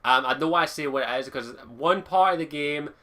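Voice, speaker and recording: A young Lowland Scottish man talks through a game review with bright, forceful energy and a voice pitched a little high for a man. He swears freely, circles back on his words and keeps the mood loose and chatty. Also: fairly quick. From Scotland. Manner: enthusiastic, frequent swearing, restarts and fillers. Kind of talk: casual video game review monologue.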